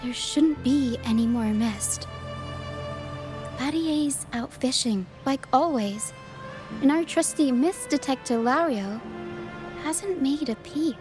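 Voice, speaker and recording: A woman playing a calm, quirky young female adventurer in a video game, measured and gently playful. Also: high-pitched. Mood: calm, curious. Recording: loud game music behind the voice. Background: game music.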